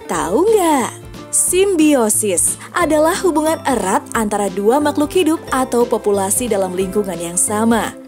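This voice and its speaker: Lively woman narrating a kids' lesson. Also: fairly high pitch. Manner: friendly questions to young listeners. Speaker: narrator explaining science to children. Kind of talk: scripted educational narration. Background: music.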